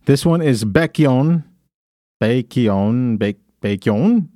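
A man's voice: medium pitch, expressive, slow pace, warm tone, dry recording, clean studio sound. A man hosting a podcast, reading from his phone in a casual, crude way with frequent laughter. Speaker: podcast host. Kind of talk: casual comedic chat.

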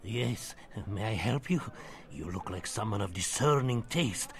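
An actor playing a smooth-talking, obliging market merchant with oily, courteous sales patter in an audio drama. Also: male voice, lowish voice, lively intonation, a little slow. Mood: ingratiating.

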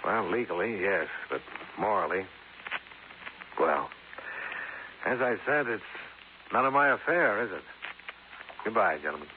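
Hissy old-radio hard-boiled American investigator. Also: male voice, lowish voice, expressive, unhurried, pauses often. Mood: measured. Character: hard-boiled male freelance insurance investigator, skeptical and businesslike. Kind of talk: 1950s American radio detective drama acting, with narration. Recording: noisy, hissy, narrow-band 1950s radio recording.

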